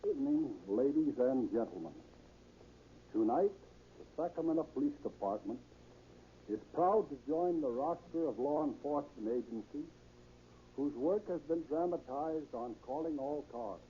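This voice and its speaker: An American man, a police chief, giving a formal, emphatic, moralizing radio address in 1930s style, with dramatic pauses. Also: fairly high pitch, expressive. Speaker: police chief, guest speaker. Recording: muffled, noisy 1930s radio recording.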